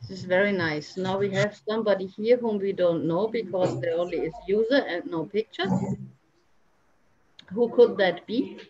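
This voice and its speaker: A woman's voice: medium pitch, normal speed, darkish tone. A woman hosting an online panel, speaking casually over a video call. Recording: muffled internet video call.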